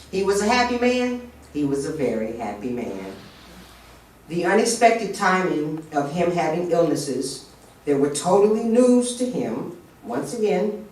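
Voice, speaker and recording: A man's voice: on the high side, a little mellow, a little hiss or hum. A man giving a formal memorial tribute in an earnest, reverent manner. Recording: echoey hall from far off.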